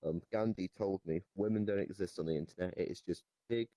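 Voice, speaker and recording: Very low-voiced, deadpan young man. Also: fairly quick, dry recording. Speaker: interviewee in improvised comedy chat. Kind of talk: improvised comedic chat. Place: online voice chat in a virtual reality game.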